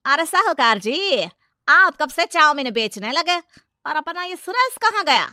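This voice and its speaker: A woman plays a nosy villager from a moral tale, questioning and protesting. The voice is very high and piercingly bright. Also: sing-song, measured pace, dry recording, heavily acted. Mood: suspicious. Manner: questioning, complaining. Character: nosy, protesting village customer of a food cart. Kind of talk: animated moral-story character dialogue.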